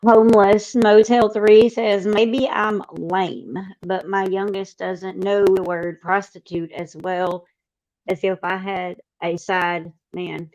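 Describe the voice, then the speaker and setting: A woman's voice: medium pitch, flat delivery, slow pace, a little mellow, highs cut off. A woman panelist reading viewer comments aloud and responding to them. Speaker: panelist on a live discussion.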